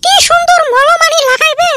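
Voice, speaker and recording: A woman's voice: very high voice, normal speed, pushed and bright, a little hiss or hum. A woman voicing a nagging, exasperated village wife in a comedy cartoon, with a northern Bengali Rangpuri accent. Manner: scolding, complaining. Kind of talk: comic cartoon dialogue.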